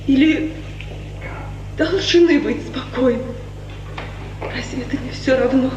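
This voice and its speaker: Proud, anguished young heroine, very high voice, measured tragic delivery, vintage radio with clear noise. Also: female voice, darkish tone, muffled recording. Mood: anxious, subdued. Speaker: actress. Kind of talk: 1950s Soviet radio tragedy acting. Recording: noisy, echoey 1950s radio recording.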